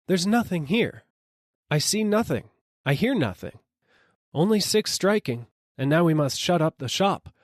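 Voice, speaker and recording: A man voices a storybook shopkeeper's wife who is firm, scolding and dismissive. The voice is lifted in pitch and lively, recorded dry. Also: unhurried, no room sound. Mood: flatly denying.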